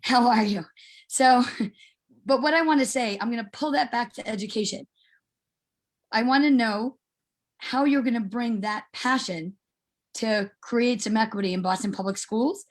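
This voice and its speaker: A woman's voice: fairly high pitch, normal speed, long pauses. A middle-aged woman asking a question at an online meeting, informal and chatty, circling toward her point.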